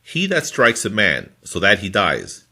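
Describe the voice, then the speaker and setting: A man reading scripture from a formal translation in a deliberate, phrase-by-phrase manner. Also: on the low side, wildly swooping pitch, pushed and bright. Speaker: scripture reader.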